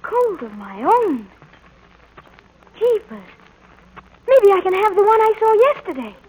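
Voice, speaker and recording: A performer playing an eager, earnest young ranch boy, respectful and excitable, in a 1940s radio drama. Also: extremely high pitch, measured pace, heavily acted, poor audio. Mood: amazed, eager.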